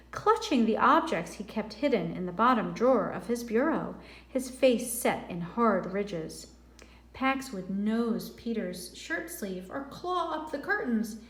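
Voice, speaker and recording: A woman reading a storybook aloud like a teacher, expressive and deliberate, stretching words for drama and adding brief explanatory asides. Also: a little high. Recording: echoey, roomy sound.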